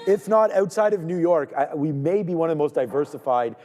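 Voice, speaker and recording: A man from Canada hosts a live trading stream in loose, rambling banter, repeating himself as he greets viewers one after another. His voice sits high and mellow. A long reverb trails behind it. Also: brisk, faint music behind. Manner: energetic, rambling, repeats words, shouts out viewers.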